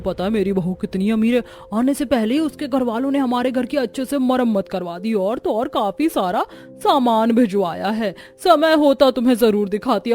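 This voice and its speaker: A woman's voice: fairly high pitch, darkish tone, lightly acted. A woman voicing a boastful, smug middle-aged sister-in-law in a cartoon story, gloating and taunting. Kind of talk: Hindi cartoon story dubbing.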